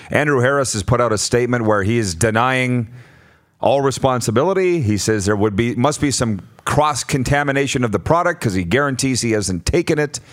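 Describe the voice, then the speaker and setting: A man hosting a live sports talk discussion, posing questions to steer the conversation. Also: medium pitch. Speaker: sports talk show host.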